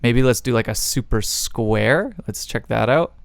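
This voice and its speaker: A man's voice: medium pitch, unhurried, creaky. A man hosting a music production tutorial, explaining things step by step in a casual way.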